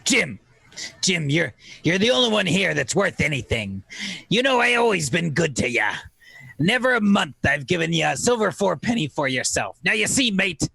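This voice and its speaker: Casting: man as ailing old sea captain, radio play. Husky, fairly high for a man, salty, rum-craving, desperate. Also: bright, forceful tone, dry recording, full character voice. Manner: pleading, salty seafaring speech.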